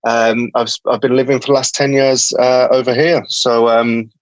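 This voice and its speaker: A man's voice: mid-range voice, level tone, moderate pace, dry recording, low-quality recording. A man with a Birmingham accent, a company founder talking as a podcast guest, hesitant and full of ums and restarts. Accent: Birmingham English. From England, Birmingham. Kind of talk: casual interview talk.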